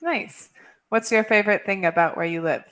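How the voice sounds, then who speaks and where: An American woman with a mid-pitched voice chats easily with a student. She laughs often between her sentences and keeps a relaxed, friendly pace. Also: moderate pace. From California, USA. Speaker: English tutor. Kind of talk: casual video-call chat. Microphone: video call.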